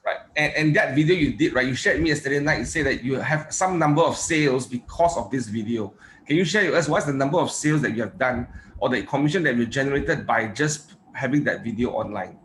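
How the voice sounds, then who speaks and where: A man facilitating a webinar, asking questions with brisk prompts and a frequent "right". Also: mid-range voice, a bit bright, slight background noise. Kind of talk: interview questions, casual. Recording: echoey room.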